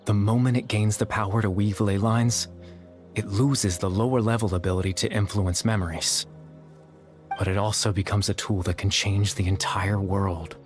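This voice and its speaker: An actor playing a melancholy, resigned being in a video game, explaining things in a measured, formal way. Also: male voice, lowish voice, fairly even intonation, faint music behind, lightly acted. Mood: somber, resigned. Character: melancholy male being resigned to his sacrifice, calmly explanatory. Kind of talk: dramatic video game voice acting.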